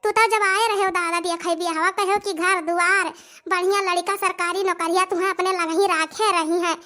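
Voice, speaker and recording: A woman's voice: very high voice, fairly even intonation, moderate pace, sharp tone. A young woman with an Awadhi accent playing an overworked, complaining village daughter-in-law in a comic cartoon, repeating her phrases for emphasis. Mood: bitter, complaining.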